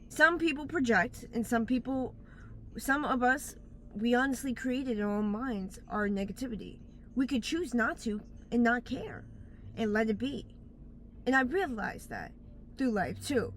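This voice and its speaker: A woman's voice: fairly high pitch, slight background noise. A woman giving blunt life advice in a casual, rambling monologue, recorded outdoors on a phone, often stopping to search for words. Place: outdoors in bright daylight.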